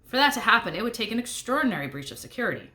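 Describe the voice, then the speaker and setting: A woman's voice: a little high, sing-song, very fast, bright, forceful tone, roomy sound. A woman voicing a fussy male demon official in a storybook, formal, emphatic and reassuring. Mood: firm denial.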